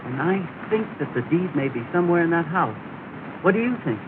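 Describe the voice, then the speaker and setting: An actor playing an earnest, polite young gentleman, respectful and sincere, in 1930s film style. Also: on the high side, lively intonation, brisk, natural voice. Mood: earnest, hopeful. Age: young adult. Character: earnest, polite, affable young gentleman newly arrived north. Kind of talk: early 1930s film acting. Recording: noisy, tinny 1930 film soundtrack.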